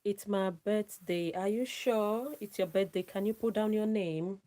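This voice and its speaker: A woman's voice: medium pitch, unhurried, dark tone. A woman chatting casually as a live streamer, friendly and effusive with her listeners. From Nigeria. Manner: effusive, friendly, often repeats thanks and calls out names. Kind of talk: casual live-stream chat with shout-outs.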